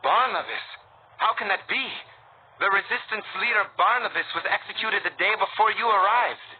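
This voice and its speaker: A man's voice: sits high, moderate pace, natural voice. A man playing an earnest, anxious, loyal resistance informant in a video game. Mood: anxious, surprised. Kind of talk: video game character acting. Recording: tinny band-limited game audio, faint noise.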